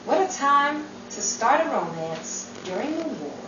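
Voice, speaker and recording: A woman acts out a kindly, genial forties radio-play character. Her pitch is high and swings freely. The recording is noisy and distant, in an echoey room. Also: very animated, measured pace, muffled recording. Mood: wry, caring. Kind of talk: 1940s-style radio play acting.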